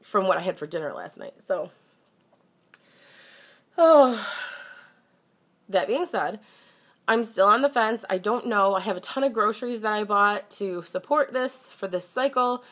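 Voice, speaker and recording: A woman's voice: mid-range voice, lively intonation, measured pace, long pauses, a bit bright. A young woman talking candidly to her camera in a casual vlog, congested and a little phlegmy, chatty with a slightly defensive edge. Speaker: vlogger.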